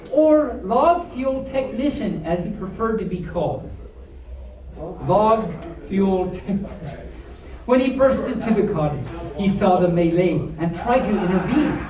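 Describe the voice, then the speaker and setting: A storyteller of unclear sex reading a bedtime story aloud to a small audience in an animated style. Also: medium pitch, a little slow, a crowd in the background. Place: echoey room at a convention. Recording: echoey room, camera mic far off.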